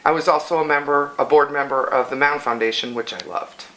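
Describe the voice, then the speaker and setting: An older woman with an Illinois accent answers interview questions in a bright, clear voice. She speaks earnestly as a local council candidate and often stops to restart a sentence. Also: low-pitched, fairly quick, a little hiss or hum, rough recording.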